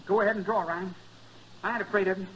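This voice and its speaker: A man playing a naive, stubborn young cowboy with halting country speech in 1950s radio style. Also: high voice, steady tone, a bit bright, slight background noise. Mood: defiant. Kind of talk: 1950s radio western acting. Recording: muffled 1950s radio recording.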